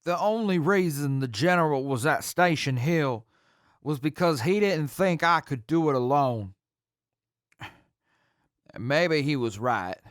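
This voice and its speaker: Broken ex-leader played by a man, clear voice a little high, slow, halting and full of guilt, wasteland audio drama. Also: lively intonation, no room sound, studio quality. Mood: bitter, guilty. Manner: halting, self-blaming. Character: guilt-ridden, defeated former leader in jail. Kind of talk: post-apocalyptic audio drama acting.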